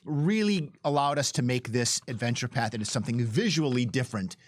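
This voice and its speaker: Excited game dev guy chatting about design, medium pitch, dry room, trips over his own ideas. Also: fairly quick, dry recording. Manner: enthusiastic, eager, breaks off and restarts excitedly. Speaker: game developer giving commentary. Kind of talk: conversational design commentary.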